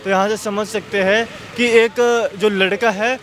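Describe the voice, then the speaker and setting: A man answers casually in a street interview in a fairly high voice. His delivery is halting, and he circles back over phrases as he builds his point. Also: level tone, fairly bright tone, a little hiss or hum.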